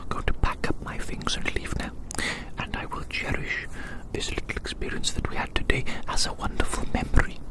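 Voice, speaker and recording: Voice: extremely high pitch, exaggerated voice, low-quality recording. A whispering ASMR performer playing a fussy, curious male naturalist, repeating phrases in quaint, old-fashioned wording. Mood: fond farewell. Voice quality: breathy unvoiced whisper.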